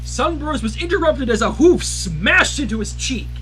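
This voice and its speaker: A livestream reader narrating a story in an animated way, with casual asides. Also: high-pitched, normal speed, soft background music.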